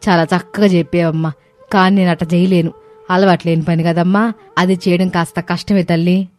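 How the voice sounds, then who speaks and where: An elderly mother-in-law played by a woman from a cartoon story, meek and motherly. She pleads gently in a narrow, even pitch, with music behind her. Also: a little fast, close to an everyday voice.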